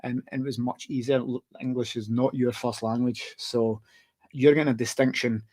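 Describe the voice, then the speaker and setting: A man's voice: mid-range voice, steady tone, moderate pace, a little mellow. Scottish man hosting with playful banter. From Scotland. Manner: joking, self-deprecating banter with fillers. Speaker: podcast host. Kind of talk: casual interview chat.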